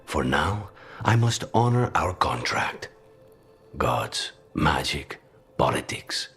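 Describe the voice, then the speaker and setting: Casting: a grim, dryly witty game assassin, extremely low, very breathy and gravelly, deliberate. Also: male voice, big pitch swings, a bit bright, soft background music, exaggerated voice. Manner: measured, deliberate. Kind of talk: video game cutscene acting.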